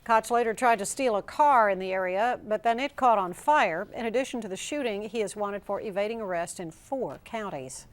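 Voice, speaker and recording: A woman's voice: mid-range voice, normal speed, hardly any pauses. A woman reading scripted television news as an anchor in a studio.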